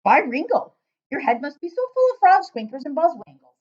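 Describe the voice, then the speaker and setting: A big, exuberant old giant from a children's storybook, played by a woman reading aloud. The voice booms and swings widely in pitch, stretching exclamations with great emphasis. The recording is dull and lo-fi. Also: sing-song, darkish tone. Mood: exasperated. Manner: booming, emphatic, drawn-out exclamations. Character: big friendly old giant, exuberant, mangles his words.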